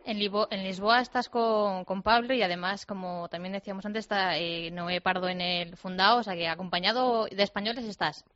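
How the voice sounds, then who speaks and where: A woman's voice: mid-range voice, steady tone, a little fast, hardly any pauses, fairly bright tone, lo-fi sound. A Spanish woman who directs a sports radio show, asking questions in a hesitant way with fillers. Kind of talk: radio interview.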